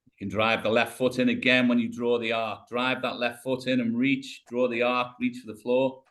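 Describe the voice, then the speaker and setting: A British man in his fifties coaching a client through floor exercises on a video call, calm and encouraging, step by step. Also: mid-range voice, normal speed, slight background noise. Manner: encouraging, talks a client through each movement step by step, says mate. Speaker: rehab coach. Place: home room, on the floor. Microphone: video call webcam.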